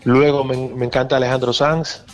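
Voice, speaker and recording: A man's voice: mid-range voice, level tone, fairly quick, dull audio, faint music behind. A man from the Dominican Republic, a band pianist, talking casually in an interview with many restarts and fillers.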